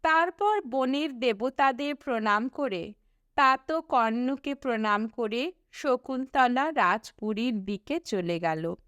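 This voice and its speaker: Woman doing audiobook story narration, really slow and expressive, close dry sound. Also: on the high side, clean tone, dry recording. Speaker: audiobook reader.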